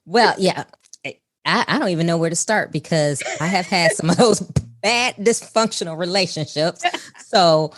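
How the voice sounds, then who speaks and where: A woman's voice: on the low side, moderate pace, a little hiss or hum. A woman hosting a podcast, reading a scripted intro and then chatting in an effusive, upbeat way. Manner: warm and effusive, laughs easily. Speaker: podcast host.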